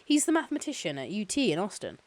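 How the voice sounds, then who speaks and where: A woman's voice: mid-range voice, sing-song, quick pace. A woman voicing an eager, effusive male corporate lawyer on the phone as she reads a novel aloud. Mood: upbeat, polite. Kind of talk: novel read-aloud dialogue.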